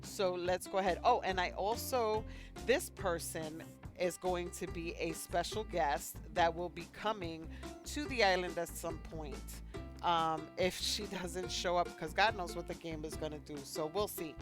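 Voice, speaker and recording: Slow, low-voiced woman narrating gameplay. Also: over music. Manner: unhurried, rambling with fillers. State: sniffly, slightly congested. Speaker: let's play host. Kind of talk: casual gameplay commentary.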